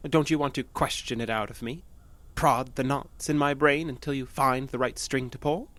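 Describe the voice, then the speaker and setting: An actor in an audio drama playing an obsessive, unstable male patient with a halting, nervous, insistent manner. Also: mid-range voice, steady tone, fairly bright tone, dry recording, natural voice. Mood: sly, menacing. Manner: halting, nervous, insistent, stammering under pressure.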